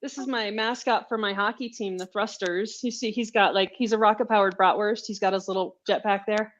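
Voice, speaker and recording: A woman's voice: medium pitch, steady tone, brisk, highs cut off. A woman speaking as a guest author in a casual interview, joking and self-deprecating.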